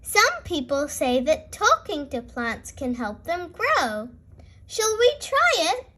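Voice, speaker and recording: A woman reads the part of a kindly female gazelle teacher in a children's storybook. She is high-voiced and unhurried, explaining things gently and patiently, with lots of encouraging lilt. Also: huge pitch swings, exaggerated voice.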